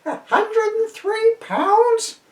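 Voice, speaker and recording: Man putting on a shrill, plaintive old lady. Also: lively intonation, drawn-out words, exaggerated voice. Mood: shocked, pleading. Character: frail, pleading hundred-and-three-year-old woman pensioner. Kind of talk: comic children's storybook voice. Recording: roomy echo, distant microphone.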